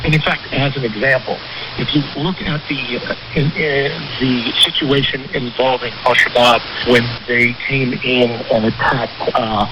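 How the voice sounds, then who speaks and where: A man's voice: mid-range voice, fairly even intonation, unhurried. A man explaining things in a radio interview, emphatic and repeating phrases for weight, on a shortwave broadcast. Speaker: interview guest, criminal defense attorney. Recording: very noisy shortwave radio reception. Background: loud radio static.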